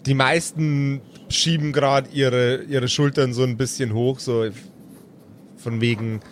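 A man's voice: medium pitch, flat delivery, slow, slight background noise. A man narrating an improvised tabletop game as game master in a casual, playful way.

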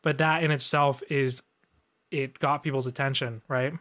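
A man's voice: mid-range voice, fairly even intonation, normal speed, a bit bright. A man who works as a designer, answering questions on a live show in a laid-back way with lots of fillers. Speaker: guest designer. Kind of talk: casual live Q&A.

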